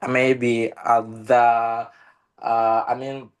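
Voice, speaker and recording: Indonesian guy on a video call, talks real slow, lots of uh and restarts, mid pitch. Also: level tone, clear, resonant tone. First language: Indonesian local language. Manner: halting, many "uh" pauses and restarts. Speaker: meeting participant. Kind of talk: casual online discussion.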